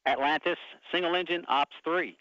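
A man's voice: fairly high pitch, normal speed, bright, forceful tone, no echo. A man acting as ground controller, giving clipped, procedural radio calls to a crew. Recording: dull radio link.

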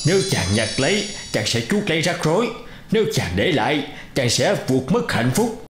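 A man's voice: on the high side, sing-song, moderate pace, reverberant, soft background music. A man voicing a magical, loyal sea horse in a cartoon, with a formal, solemn manner. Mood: cautioning.

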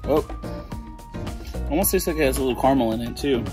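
A man's voice: mid-range voice, quick pace. Man, thirties, travel vlogger, casual rambling delivery, arm's-length camera mic, music underneath. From southern California. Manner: relaxed, rambling, lots of fillers. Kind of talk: casual vlog narration. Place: outdoors, hotel room and parked van. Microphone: handheld camera at arm's length.

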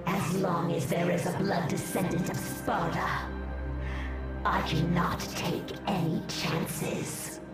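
Slow, echoing, imperious demoness. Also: female voice, lowish voice, little pitch movement, far from the mic, loud music behind the voice. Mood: stern. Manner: commanding, grandiose. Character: imperious, menacing female demon. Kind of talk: video game cutscene acting.

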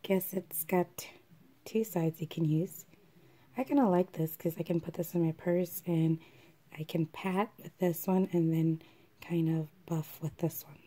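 Hoarse woman unboxing makeup slowly. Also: lowish voice, steady tone, warm tone. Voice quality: froggy, frequently clears throat. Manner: slow, half-whispered chatter. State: hoarse, recovering from a cold. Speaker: beauty vlogger. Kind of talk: casual product unboxing. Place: at a vanity table indoors. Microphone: camera over the table, hands only in view.

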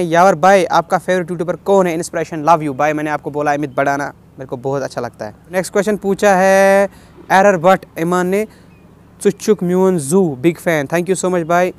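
A young man with a fairly high voice and a Kashmiri accent hosts a casual question-and-answer vlog. He reads each question aloud and replies in a chatty way, dropping in bits of English. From Kashmir, India. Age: 20s. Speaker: vlogger answering viewer questions. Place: outdoors on a rooftop.

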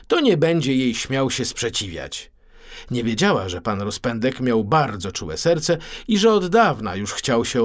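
A man reading a children's story as an expressive, theatrical audiobook narrator. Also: medium pitch.

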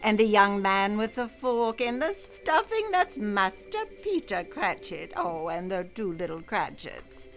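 A woman playing a solemn, all-seeing spirit guide in a radio play, measured and portentous. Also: mid-range voice, sing-song, soft background music. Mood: observing, gentle.

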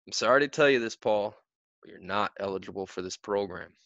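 A man voicing a middle-aged male soccer coach who lays down the rules in a firm, measured way. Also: lowish voice, fairly bright tone, no echo, muffled recording. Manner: firm, measured, rule-bound. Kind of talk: audiobook character voice.

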